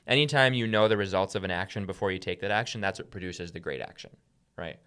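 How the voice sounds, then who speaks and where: A man's voice: deep voice, quick pace, bright, forceful tone, clean tone. A man speaking as a podcast host, teaching the Bible in a conversational way and often checking in with "right?".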